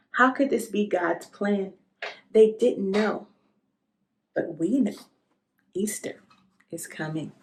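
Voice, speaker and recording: A woman reads aloud for young children in an expressive storybook style. Her voice sits at a medium pitch and moves slowly, giving each phrase plenty of time. She speaks as a read-aloud host addressing little listeners directly.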